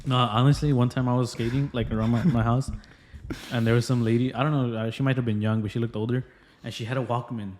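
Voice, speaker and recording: A man's voice: medium pitch, fairly even intonation, quick pace, darkish tone. Slangy young man chatting on a podcast. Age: 20s. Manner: slangy, repetitive, agreeing bro talk. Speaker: podcast co-host. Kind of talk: casual podcast chat with a friend.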